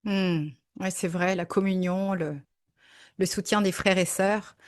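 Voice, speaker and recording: A woman hosts an interview and guides her guest with questions. Her voice sits at an average pitch, and she sounds encouraging and affirming throughout. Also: fairly even intonation, measured pace.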